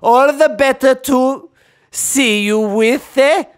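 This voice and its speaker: A man's voice: very high-pitched, takes it slowly, crowd noise, voice pushed up. A man voicing a sly, crude big bad wolf in a cartoon fairy tale, with an Italian accent.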